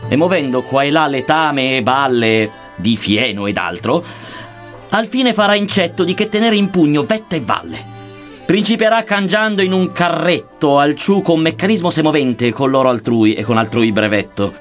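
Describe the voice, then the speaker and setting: Solemn man reciting verse, higher-pitched voice. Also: very animated, normal speed, faint music behind. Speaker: reader of a satirical verse narrative. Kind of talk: dramatic poetry recitation in archaic Dantean verse.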